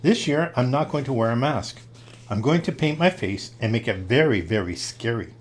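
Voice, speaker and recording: A man's voice: medium pitch, lively intonation, moderate pace, lightly acted. A man voicing a mischievous, bold young boy in a storybook, matter-of-fact in manner. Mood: determined, mischievous.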